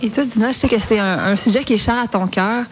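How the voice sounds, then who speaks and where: A woman's voice: a little high, fast. A woman interviewing two guests, asking long, thoughtful questions.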